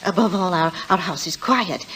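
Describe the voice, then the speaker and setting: A woman's voice: lowish voice, normal speed, husky. An actress in 1940s radio style playing an anxious working-class landlady, fluttery, stammering and eager to please. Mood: nervously eager. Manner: fluttery, stammering, servile, bustling. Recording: noisy old radio recording, steady hiss.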